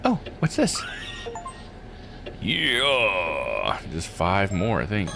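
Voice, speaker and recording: A man's voice: low voice, huge pitch swings, very slow, creaky, music in the background. A man live-streaming a visual novel, reading text aloud and musing casually as he plays. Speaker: game streamer.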